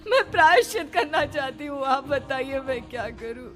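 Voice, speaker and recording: A woman's voice: high voice, lively intonation, moderate pace, a bit bright, soft background music. A woman voicing a remorseful, pleading middle-aged mother in a dramatized moral horror story. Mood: tearful remorse. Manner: tearful, pleading, self-justifying.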